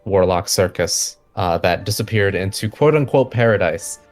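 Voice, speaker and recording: A man with a fairly low voice runs a tabletop game, narrating scenes and dropping in casual asides. Faint music plays behind him. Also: animated, normal speed. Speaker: game master.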